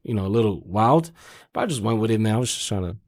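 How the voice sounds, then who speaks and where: A man's voice: lowish voice, animated, fast talker, darkish tone. A man speaking as a podcast guest and car salesman, telling casual, slangy anecdotes and acting out quotes.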